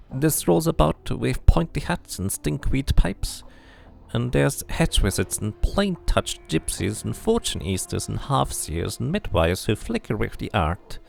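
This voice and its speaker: A man's voice: mid-range voice, vocal fry, darkish tone, pitched above the performer's own voice, full character voice. A man voicing a weary, knowing old woman, a hedge witch who talks in an archaic folksy dialect, in a video game read-through.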